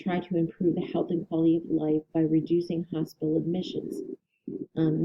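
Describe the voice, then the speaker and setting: A woman instructor giving a recorded lecture, her voice a little hoarse, explaining steadily with ums and self-corrections. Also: low voice, mellow, dull audio. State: slightly hoarse, clearing throat.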